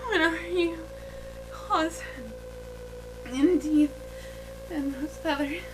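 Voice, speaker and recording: Woman playing a high-voiced, frightened village girl. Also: steady tone, very slow, over music, low-quality recording. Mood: terrified pleading. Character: terrified, tormented village girl in horror tale. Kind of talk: horror radio drama acting.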